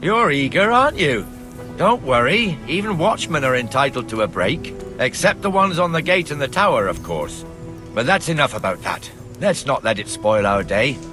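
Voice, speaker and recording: A man's voice: mid-range voice, sing-song, normal speed, over music, close to an everyday voice. A man voicing a blunt, bossy town watchman in a medieval video game, with a chatty, hectoring manner. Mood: relaxed, grumbling. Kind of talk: dramatic game cutscene dialogue.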